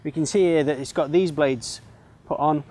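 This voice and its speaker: A man's voice: fairly high pitch, fairly even intonation, moderate pace, a little mellow. A man explaining and demonstrating as an instructor in an educational film.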